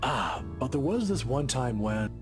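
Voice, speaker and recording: Wry professor, lively intonation. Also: male voice, medium pitch, measured pace, music in the background. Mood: wry, advising. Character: wry, composed male professor giving advice. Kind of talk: visual novel game acting.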